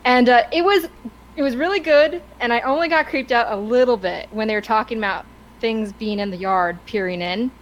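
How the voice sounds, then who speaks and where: A woman's voice: fairly high pitch, brisk, a little hiss or hum. A woman co-hosting a casual talk stream, chatty and rambling with an enthusiastic way of telling things. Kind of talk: casual chat.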